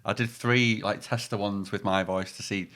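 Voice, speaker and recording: A man's voice: fairly low pitch, fairly even intonation, moderate pace, a bit bright. A man from England, a radio presenter, talking easily in a casual chat. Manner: easygoing, laughs along.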